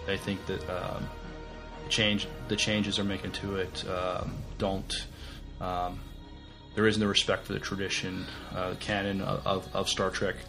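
A man co-hosting a podcast, sharing news in casual chat with a halting, hesitant delivery. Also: deep voice, completely flat delivery, creaky, music in the background. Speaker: podcast co-host.

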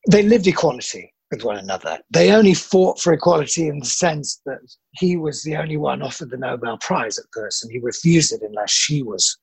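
A middle-aged film actor with a husky voice, answering interview questions in a self-deprecating, halting way. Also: male voice, on the high side, no echo, rough recording.

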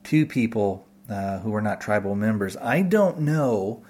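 A man's voice: fairly low pitch, expressive, unhurried, a little mellow. An American man hosting a podcast, talking off the cuff to listeners in a rambling, tentative way as he thinks aloud. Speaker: podcast host. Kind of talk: unscripted talk to listeners.

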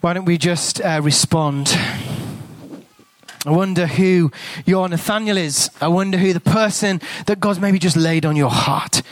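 A man with an English accent preaches in a relaxed, storytelling way, his voice sitting a bit high for a man. He sometimes sinks into a hushed near-whisper when he prays. The sound is close and dry, with no room echo. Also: a little slow. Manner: conversational storytelling, drops to a hushed whisper in prayer. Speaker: guest preacher. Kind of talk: sermon with a told story.

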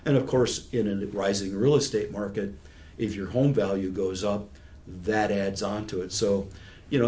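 A man's voice: fairly low pitch, a little mellow. A man in his sixties giving patient, conversational explanations with everyday examples, as an expert guest in an interview. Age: 60s.

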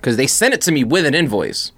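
A man's voice: mid-range voice, big pitch swings, fast talker, a bit bright. A man in casual podcast conversation, speaking informally and swearing offhandedly. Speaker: podcast co-host or guest. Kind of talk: casual sneaker podcast chat.

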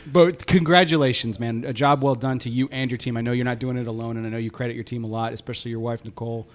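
A man's voice: medium pitch, fairly even intonation. A man in his thirties co-hosting a podcast, chatting casually with lots of restarts and fillers. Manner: halting, many fillers and restarts, friendly. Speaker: podcast co-host.

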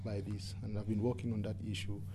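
A man from Cameroon with a Cameroonian accent gives earnest, halting interview answers, often repeating words for emphasis. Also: on the low side, level tone, background noise. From English-speaking Cameroon. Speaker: environmental activist and interviewee.

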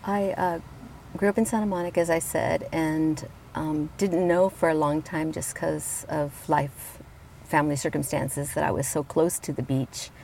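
A woman in her fifties from Colombia, talking about her life as a guest, reflective and warm, with frequent ums and soft laughs. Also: sits low, fairly even intonation, normal speed, creaky, a little hiss or hum, rough recording. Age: 50s. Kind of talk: casual conversation. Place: outdoors at a beach.

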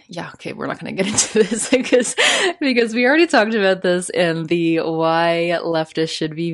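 A young adult woman with a Canadian accent hosting a solo podcast, chatty and prone to laughing, with lots of fillers. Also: low-pitched. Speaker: podcast host.

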